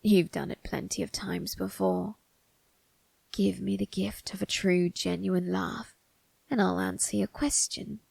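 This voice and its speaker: A woman voicing an ancient male undertaker in an ASMR roleplay, sly and teasing, with a breathy, husky, creaking voice and formal phrasing. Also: mid-range voice, a little mellow, no room sound, exaggerated voice. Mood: amused, coaxing. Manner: slow, formal, teasing delivery with drawn-out tag questions.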